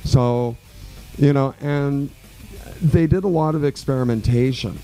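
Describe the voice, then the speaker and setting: A man's voice: medium pitch, a little slow, soft background music, poor audio. A man in his forties from Quebec, a guest musician telling stories in a radio interview, loose and rambling with lots of fillers. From Quebec, Canada. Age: 40s. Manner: relaxed, rambling storyteller with many fillers.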